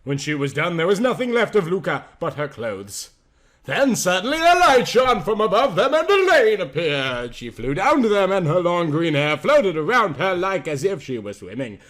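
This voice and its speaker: A man's voice: high voice, very animated, moderate pace, a bit bright. A man narrating a story in a melodramatic, amateur dramatic reading style.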